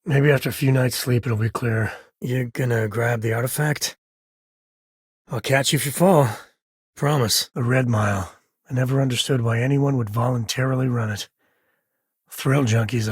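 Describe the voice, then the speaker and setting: An actor playing a rugged, folksy space-frontier ranger and devoted father in a video game, with a husky voice and an easygoing, sincere way of talking. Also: mid-range voice, dry recording, clean studio sound, close to an everyday voice. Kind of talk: acted game companion dialogue.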